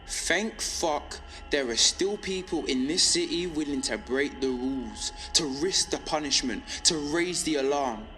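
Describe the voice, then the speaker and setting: An actor playing a defiant, lonely young male rebel on pirate radio in an audio drama, speaking intensely and deliberately in short rhythmic phrases. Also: fairly high pitch, expressive, bright, forceful tone, faint music behind. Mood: resolute, hopeful. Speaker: underground radio broadcaster. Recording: reverberant space with background noise.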